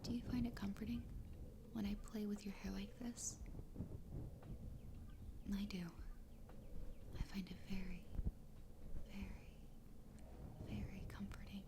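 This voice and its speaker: A woman voicing an obsessively loving fox girl wife in a soft, breathy, tender ASMR roleplay whisper. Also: medium pitch, fairly even intonation, background noise, close to an everyday voice. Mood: tender, soothing. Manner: tender, slow, intimate. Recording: close whisper over background music. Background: music.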